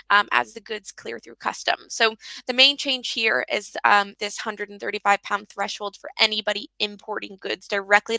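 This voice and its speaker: A woman appearing as a guest expert, explaining tax rules in a clear, steady way with the odd filler. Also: on the high side, no room sound, rough recording. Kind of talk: explanatory webinar talk.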